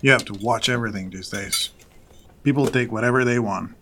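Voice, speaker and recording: An actor playing a genial, protective older father in an audio drama, homely and gently teasing. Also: lowish voice, brisk, background noise, natural voice.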